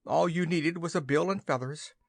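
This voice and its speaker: A man's voice: fairly high pitch, normal speed, gravelly, dry recording, studio quality, voice pushed up. A man playing a sneering old witch. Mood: mocking. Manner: sneering, snickering. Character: old scheming witch woman, sneering and cruel.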